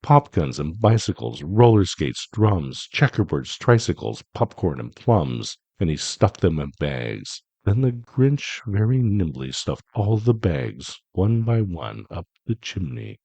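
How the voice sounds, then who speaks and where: Low, creaky male storyteller, theatrical. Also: big pitch swings, warm tone, no echo. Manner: theatrical, measured, relishing the rhymes. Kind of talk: dramatic rhyming children's story narration.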